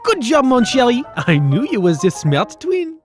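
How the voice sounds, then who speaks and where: A flamboyant crime schemer in an animated story. His voice is high and swoops theatrically, effusive and calculating. Music plays clearly behind him. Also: normal speed, heavily acted. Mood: delighted, scheming. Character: flamboyant scheming French male art thief boss.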